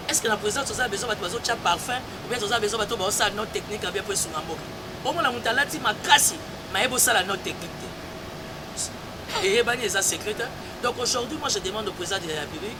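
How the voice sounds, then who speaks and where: A Congolese man with a notably high voice argues his point emphatically, hammering the same phrases again and again. Heavy traffic roars behind him on an outdoor street. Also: fast talker. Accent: Congolese French. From Congo-Kinshasa. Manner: emphatic, repeats key phrases, mixes in Lingala. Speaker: church spokesperson and political commentator. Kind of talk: heated political debate. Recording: loud street traffic noise.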